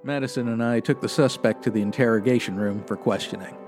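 A man playing a stern 1950s police detective with a flat, clipped monotone delivery, in a comedy radio play. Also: mid-range voice, fast, soft background music, lightly acted. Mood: deadpan.

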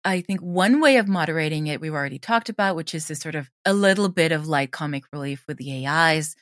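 A woman's voice: low voice, quick pace, dry recording, clean studio sound. A woman speaking as a writer and interview guest, explaining things in a thoughtful, conversational way. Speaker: audio drama writer and sound designer, interviewed guest.

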